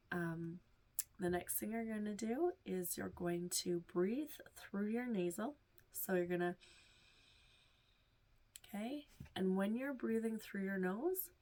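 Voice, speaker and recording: Nasal, stuffed-up woman teaching meditation. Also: mid-range voice. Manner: soft, reassuring, drifts into whispers. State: stuffed-up nose. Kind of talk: casual instructional talk.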